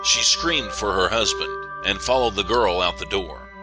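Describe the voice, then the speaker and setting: Low-voiced man narrating horror over music. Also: moderate pace, low-quality recording. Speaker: podcast host and storyteller. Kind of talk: scripted horror story narration.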